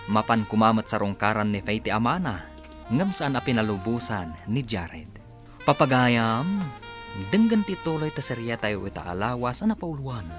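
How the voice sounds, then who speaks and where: A man's voice: mid-range voice, sing-song, moderate pace. A man with an Ilocano accent narrating and announcing a radio drama in a dramatic style. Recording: music under the voice. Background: music.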